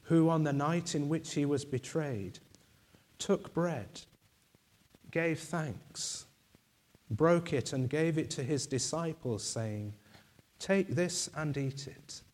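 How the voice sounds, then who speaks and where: Slow, solemn man leading prayers. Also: a little high, expressive, darkish tone. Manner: slow, solemn, measured recitation. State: losing his voice. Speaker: minister leading communion. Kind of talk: liturgical prayer. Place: church.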